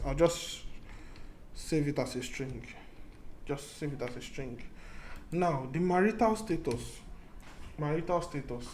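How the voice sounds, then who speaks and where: A man's voice: medium pitch, darkish tone, a little hiss or hum. A man teaching a programming lesson, thinking aloud as he goes, often restarting and repeating his key points. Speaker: programming tutor. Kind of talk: casual screen-recorded coding lesson.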